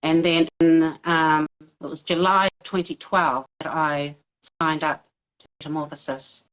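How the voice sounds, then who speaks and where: A woman's voice: low voice, steady tone, a little slow, a bit bright, poor audio. A woman in her thirties from New Zealand with a New Zealand accent, a guest on a video call interview, talking casually with lots of fillers and easy laughs. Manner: hesitant, many fillers, laughs easily.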